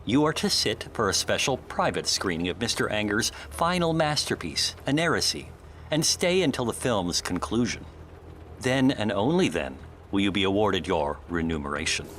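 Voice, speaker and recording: A man's voice: on the low side, sing-song, moderate pace, fairly bright tone, slight background noise. An actor playing a prim, loyal male assistant in a noir audio drama, formal, precise and reproachful. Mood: stiffly formal.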